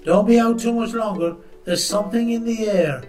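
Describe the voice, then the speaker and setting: Man playing genial mysterious old wanderer, highish voice, storybook character, faint music. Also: moderate pace, darkish tone, roomy sound, recorded from a distance, natural voice. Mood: ominous, knowing. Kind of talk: audiobook character acting.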